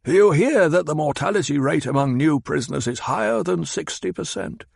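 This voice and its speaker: A man voicing a cold, sardonic old advisor who speaks in clipped, cutting sentences, pitched higher than the narration. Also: expressive, moderate pace, creaky, slightly rough, no echo, studio quality, heavily acted. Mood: scornful. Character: cold, scornful old male mentat advisor.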